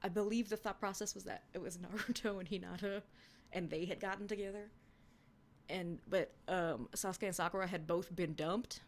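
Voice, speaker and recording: A young woman reading her own old fan fiction aloud in a hushed, half-whispered voice, then chatting about it with giggly, self-mocking commentary. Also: medium pitch, fairly even intonation, moderate pace. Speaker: podcast reader.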